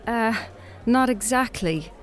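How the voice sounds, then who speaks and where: A woman's voice: fairly high pitch, animated, slow pace, faint music behind. An actress playing a weary, polite Irish woman on a doomed date in an audio drama, dryly guarded. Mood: politely trying.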